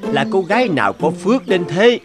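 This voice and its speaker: A man playing a gentle, encouraging old village elder in a fairy-tale cartoon dub. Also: high voice, sing-song, normal speed, music in the background. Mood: kindly.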